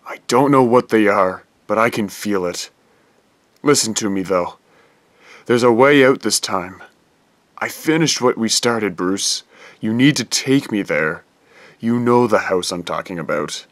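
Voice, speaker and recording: An actor playing a desperate former prosecutor who is losing himself, pleading gravely and urgently in an audio drama. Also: male voice, on the low side, lively intonation, unhurried, no room sound.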